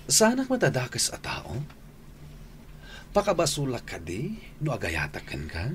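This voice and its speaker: A man's voice: medium pitch, wildly swooping pitch, a little slow, a little hiss or hum. A man acting a spurned, lovesick suitor in an Ilocano radio drama, pleading insistently.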